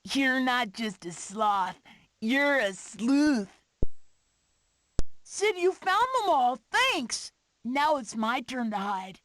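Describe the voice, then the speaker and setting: A sweet, earnest young cartoon animal in a game. The voice is high and childlike, taking its lines slowly, with faint noise behind. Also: female voice, fairly bright tone, exaggerated voice. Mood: playful. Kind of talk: animated video game voice clips.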